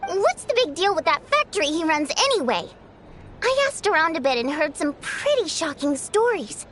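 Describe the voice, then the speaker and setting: A woman playing a proud, easily offended theater singer in scripted video game dialogue, with an expressive, theatrical, pointed delivery. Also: very high-pitched, moderate pace, background noise. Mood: indignant.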